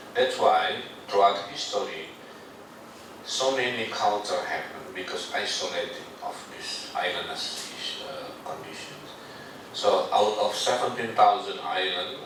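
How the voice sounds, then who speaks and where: Indonesian man, native Sundanese, deep voice, halting English presentation; far-off mic in an echoey hall. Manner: halting, with many fillers and restarts. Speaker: speaker addressing a foreign delegation. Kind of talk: informal presentation. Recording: far-off echoey room with noise.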